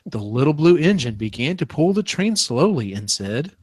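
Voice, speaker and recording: A man's voice: on the high side, very animated, normal speed, a little mellow, husky, dry recording, studio quality. A man, a podcast co-host, reading a comic parody storybook aloud.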